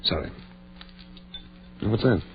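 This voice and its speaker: An actor playing a troubled, loyal doctor friend, hesitant and solemn, in a vintage radio horror drama. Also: male voice, takes it slowly, slight background noise, close to an everyday voice. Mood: apprehensive. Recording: muffled old radio recording.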